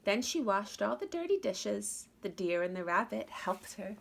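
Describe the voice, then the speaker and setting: A woman's voice: medium pitch, very animated, normal speed, creaky. A woman reading a children's story aloud expressively, as if to young listeners. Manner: addresses young listeners with questions. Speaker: storybook reader.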